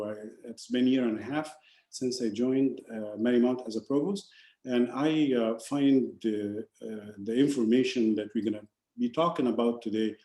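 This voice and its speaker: A man in his sixties speaks haltingly in a mellow, dark voice. He restarts his phrases and lets them trail off quietly. The sound is roomy, with a clear echo. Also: medium pitch. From Egypt. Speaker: university provost, panelist. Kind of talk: webinar self-introduction. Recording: echoey room.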